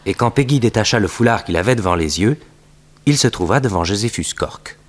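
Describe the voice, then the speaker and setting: A man's voice: on the low side, lively intonation, brisk. A man narrating a scripted 1960s French detective radio serial. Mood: suspenseful. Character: male storyteller of a detective serial.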